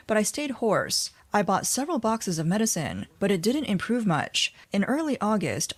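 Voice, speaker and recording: A woman narrating a scripted news explainer, reading reports and quoted posts in a measured, even delivery. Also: fairly low pitch.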